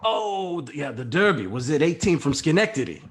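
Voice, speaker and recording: A man playing a clueless, confused radio caller in a comedy table read, stammering and halting as he talks. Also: a little high, very animated. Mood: befuddled.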